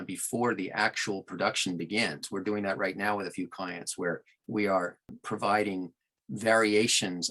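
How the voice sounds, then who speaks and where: A man speaking as an interview guest about his work, in thoughtful, articulate and measured phrases. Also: deep voice, pushed and bright, poor audio. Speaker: guest audiobook narrator and producer.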